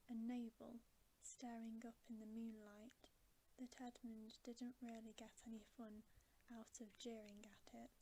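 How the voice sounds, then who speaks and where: A woman whispering a bedtime story in ASMR style, breathy, gentle and hushed. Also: on the high side, slight background noise, rough recording. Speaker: ASMR reader.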